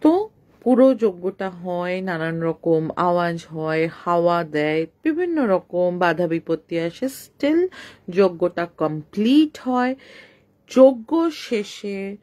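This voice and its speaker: A woman's voice: on the low side, animated, slow pace, darkish tone. A woman narrating a ghost tale in an informal, unscripted way, conversational and mixing in some English words. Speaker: horror story narrator.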